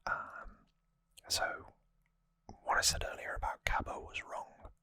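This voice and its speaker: Asmr host whispering a recap, breathy n bright, super close mic, casual explaining. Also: slow, no echo. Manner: soft, informal explaining. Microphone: close microphone, dry sound.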